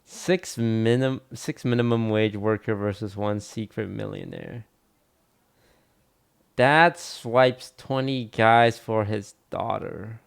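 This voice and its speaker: Slow, gravelly male streamer. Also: fairly low pitch. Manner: dry, blunt, teasing remarks. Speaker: streamer reacting to a video. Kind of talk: casual live-stream commentary.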